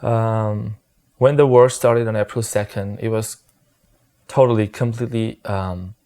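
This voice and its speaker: A man's voice: lowish voice, steady tone, unhurried, dark tone. A man in his twenties from Armenia, a former soldier, talking hesitantly with many fillers in a casual interview. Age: 20s.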